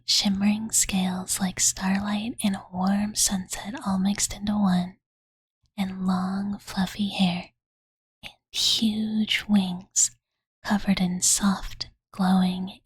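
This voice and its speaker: A woman voicing a cute, devoted young dragon girl who softly tells her master a bedtime story in a cozy ASMR roleplay, with cutesy, filler-heavy speech. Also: mid-range voice, level tone, slow pace, no echo. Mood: cozy, musing. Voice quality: soft breathy whisper. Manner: valley-girl filler-laden, soothing, cutesy third-person speech. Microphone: close-up studio condenser microphone, dry.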